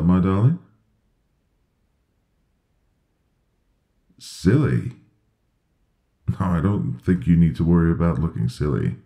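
Low breathy tender husband, acted by a man. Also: no room sound, highs cut off, exaggerated voice. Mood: reassuring, warm. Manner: slow, gentle, soothing. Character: sweet, tender, reassuring newlywed husband. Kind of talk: ASMR boyfriend roleplay, intimate soft delivery.

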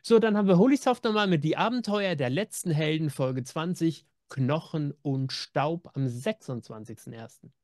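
Male co-host, middle-aged, pitch a bit high, casual announcing style, very dry recording. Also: normal speed, a little mellow, dry recording. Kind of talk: casual chat, announcing releases. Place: home room with media shelves. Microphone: video call.

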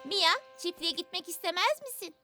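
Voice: very high-pitched, expressive, normal speed, sharp tone, soft background music, heavily acted. An actor voicing a sweet, bossy little girl in a preschool cartoon dub, speaking in a cheerful, insistent singsong. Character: sweet, bossy little girl who loves farm animals. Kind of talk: dubbed preschool cartoon acting.

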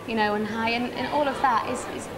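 A British woman contestant talks through her experience in a halting way, stopping and restarting her sentences. Her voice is fairly high, with background noise in the recording. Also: fairly even intonation. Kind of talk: interview answers.

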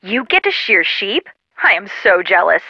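A woman's voice: medium pitch, big pitch swings, moderate pace, sharp tone, no room sound, full character voice. An actress playing an unsentimental, tomboyish young woman, dry and teasing, heard through a telephone filter. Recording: muffled, lo-fi phone-call sound.

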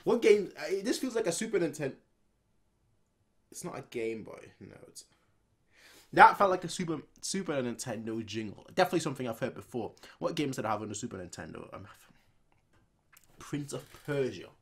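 A man's voice: medium pitch, a little fast, long pauses, husky. Rambling young man, casual reaction host. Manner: rambling, repeats phrases, animated when reacting. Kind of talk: casual unscripted reaction commentary. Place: small home room indoors.

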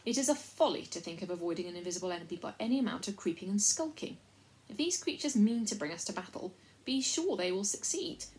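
A woman's voice: mid-range voice, lively intonation, quick pace, darkish tone, natural voice, rough recording. A woman voicing a small, valiant male mouse warrior in a storybook, formal, proud and combative. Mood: bold, defiant.

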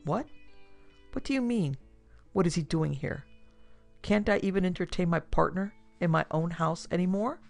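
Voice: medium pitch, normal speed, creaky, long pauses, darkish tone, faint music behind, close to an everyday voice. A narrator voicing a short, irascible older businessman and father, barking and exasperated, in an audiobook story. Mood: irritated.

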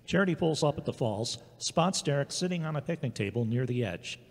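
A man's voice: medium pitch, lively intonation, a little fast. A man reading scripted scene narration for an audio drama. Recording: echoey hall-like reverb.

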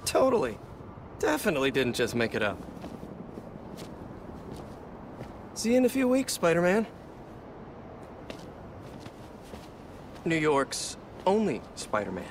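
A breezy young superhero mentor in a video game. He swings around in pitch, slightly high, teasing and encouraging. There is clear noise behind him. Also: huge pitch swings, fairly quick. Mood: playful. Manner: breezy, playful reassurance. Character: encouraging, jokey young adult male veteran superhero mentor. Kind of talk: naturalistic video game voice acting.

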